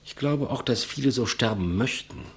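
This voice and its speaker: An actor playing a humble, despised executioner in a 1950s German radio play, deferential, plain-spoken and reflective. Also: male voice, mid-range voice, normal speed, a bit bright, slightly rough. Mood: earnest, reminiscing. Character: humble, despised old-school male executioner, quietly matter-of-fact. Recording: muffled vintage 1959 radio recording.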